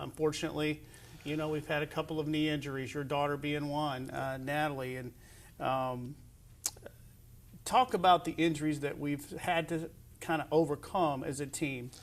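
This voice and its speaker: A middle-aged man with a slightly high voice hosts a sports interview. He speaks as an athletic director, setting up questions for his guest in a conversational way. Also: flat delivery, normal speed, a little hiss or hum.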